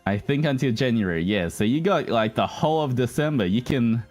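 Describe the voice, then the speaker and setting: A man's voice: mid-range voice, expressive, fairly quick, a little mellow. A man hosting a livestream, chatting casually and in a rambling way to his viewers, whom he addresses as guys. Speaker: livestream host, virtual streamer. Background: background music.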